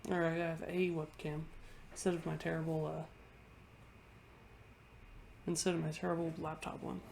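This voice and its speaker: A woman's voice: low voice, flat delivery, normal speed, gravelly, darkish tone, slight background noise. A congested woman vlogger chatting casually into her webcam at home, rambling and often restarting her sentences. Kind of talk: casual chat ranking things on a tier list.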